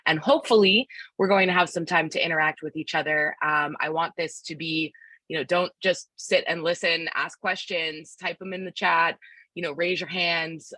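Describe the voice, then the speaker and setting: A woman leads an online workshop with a lowish, bright voice. She sounds upbeat and encouraging and keeps drawing her listeners in. The sound is close and dry over a video call. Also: no room sound. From Philadelphia, United States. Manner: upbeat, encouraging, invites the audience in, with many fillers. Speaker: workshop presenter and facilitator. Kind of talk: live online workshop presentation. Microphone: video call microphone.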